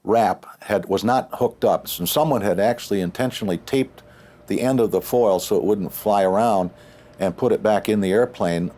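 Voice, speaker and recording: A man giving a measured interview account as an investigator. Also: on the low side, mellow. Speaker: accident investigator.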